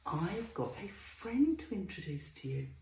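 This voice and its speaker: A woman's voice: fairly low pitch, sing-song, unhurried, creaky, warm tone, a little hiss or hum. A woman presenting a show-and-tell lesson for young children, talking to them directly in a sing-song way and stretching out key words. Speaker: children's storytime presenter and teacher. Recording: roomy echo.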